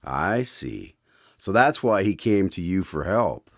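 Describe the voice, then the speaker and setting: An actor playing a courteous radio host, speaking in a polished, formal announcer's manner in a scripted drama. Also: male voice, sits low, sing-song, slow, no room sound, clean studio sound, full character voice. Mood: curious, courteous. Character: courteous male radio host, eager visitor to the doctor.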